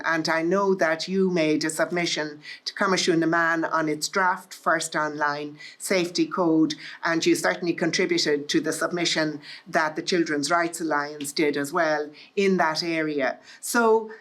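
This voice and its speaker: A woman chairing a panel discussion at a live event, friendly and chatty, asking long wordy questions. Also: fairly low pitch. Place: event room with an audience.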